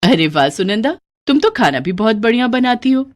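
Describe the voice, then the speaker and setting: A woman voicing a bossy, well-off lady employer who gives brisk commands. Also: a little high, very animated.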